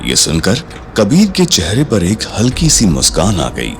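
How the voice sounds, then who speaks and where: A man's voice: lowish voice, huge pitch swings, measured pace. A man narrating a scripted story in a dramatic, suspenseful manner. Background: background music.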